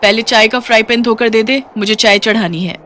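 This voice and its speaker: A woman voicing a kind, considerate middle-class employer of a maid in an animated story, informal and instructing. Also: a little high, brisk, background noise, natural voice. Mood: brisk.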